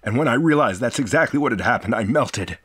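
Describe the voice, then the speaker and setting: A man's voice: mid-range voice, animated, fast talker, fairly bright tone, slightly rough. A man hosting a game review, delivering scripted commentary in an impassioned, theatrical way that moves between profanity and sentiment. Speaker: video host, game reviewer.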